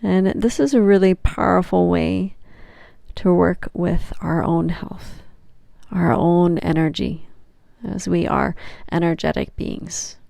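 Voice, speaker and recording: Woman, yoga nidra teacher, guided meditation, slightly low pitch, soothing, gentle, long pauses, very dry close sound. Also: steady tone, creaky, no echo.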